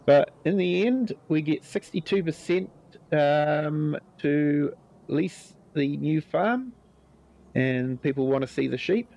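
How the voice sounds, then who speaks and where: A man with a New Zealand accent hosting a live gameplay stream, chatting with viewers in a relaxed way, his voice a little croaky from a cold. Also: on the high side, slow pace, muffled recording. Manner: relaxed, chatty, greets viewers by name, many ums. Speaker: livestream host.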